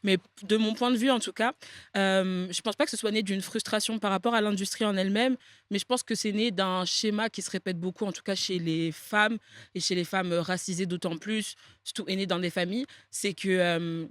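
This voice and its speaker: A woman's voice: medium pitch, fairly quick, dry recording. A woman in her twenties, a podcast guest, talking casually with lots of fillers and easy laughs. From France. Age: 20s. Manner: relaxed, many fillers, laughs easily.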